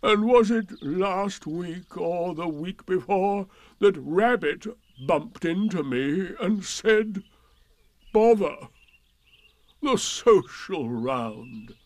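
A man voicing a gloomy, pompous old donkey in a storybook, declaiming sternly in a ponderous, drawn-out way. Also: high voice, animated, darkish tone, no echo, voice pushed up, full character voice. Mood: self-pitying. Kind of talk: storybook character voice.

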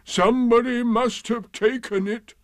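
A man voicing a gloomy old grey donkey from a storybook, with a mournful, drawn-out, heavily resigned delivery. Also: very high-pitched, steady tone, voice pushed up.

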